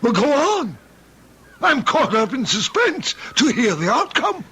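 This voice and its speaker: Malicious hairy monster, piercingly high, bright cutting tone, slow deliberate taunts, archaic theatrical phrasing, radio drama, noisy old recording. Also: male voice, big pitch swings, husky, exaggerated voice. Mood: gleeful taunting. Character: hairy monster, a mocking, goading, malicious male tempter.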